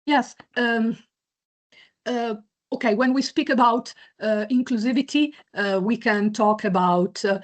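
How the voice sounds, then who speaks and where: A woman's voice: a little high, measured pace. A woman chairing an online meeting, answering questions hesitantly with many fillers and restarts over a video call. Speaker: chair of a museum committee.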